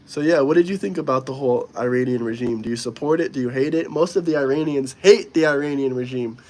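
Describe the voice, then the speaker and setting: A young man chats on his live stream, firing off blunt, offhand answers to viewers' comments. His voice sits at an ordinary middle pitch, and he sounds a touch under the weather. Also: quick pace, darkish tone. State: slightly sick. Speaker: livestream host. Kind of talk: casual live-stream chat reading comments.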